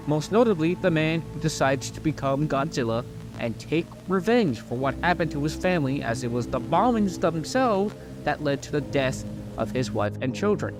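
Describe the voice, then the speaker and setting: A man with a fairly high voice narrates a scripted video essay. His pitch swings in a very lively way as he earnestly stresses his points, now and then tripping on a word. Music plays under his voice. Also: normal speed.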